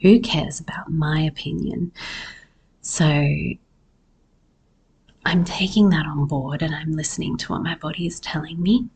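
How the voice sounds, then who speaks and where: A woman podcast host with a husky, hoarse voice, talking personally and reflectively in an unhurried solo episode. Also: low voice, fairly even intonation, darkish tone. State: hoarse after losing voice. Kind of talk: personal solo podcast talk about herbs.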